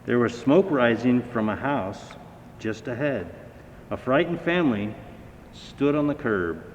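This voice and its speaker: A man reading a children's story aloud with dramatic, expressive storybook narration. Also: measured pace. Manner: dramatic, acting out sounds and dialogue. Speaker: fire battalion chief reading a children's story. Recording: echoey hall, dull sound, faint rumbling noise.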